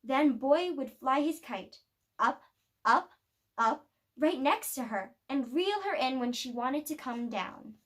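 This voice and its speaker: A woman reading a children's picture book aloud, telling the story expressively with deliberate pauses. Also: high voice, animated, a bit bright. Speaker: storybook reader.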